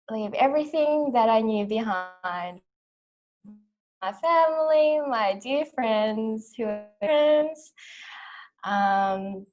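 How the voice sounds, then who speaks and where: A young woman from Australia in her twenties, hosting an online conference on a video call from a small room, guiding a breathing exercise and welcoming everyone. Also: mid-range voice. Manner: calm, soft counting, warm welcoming. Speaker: conference host. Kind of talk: guided breathing, then welcoming talk. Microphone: video call webcam.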